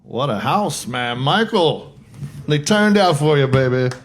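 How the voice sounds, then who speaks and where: Halting man giving a eulogy. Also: on the high side, lively intonation, slight background noise. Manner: halting, many restarts and fillers, nervous laughs. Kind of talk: informal memorial speech. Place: music club with an audience.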